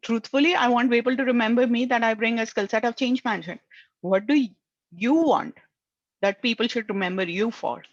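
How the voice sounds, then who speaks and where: A woman's voice: a little high, fairly quick, no room sound, muffled recording. A woman with an Indian accent, for whom English is a second language, giving earnest networking advice and often tagging her lines with "right?". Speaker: career coach. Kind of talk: advice talk.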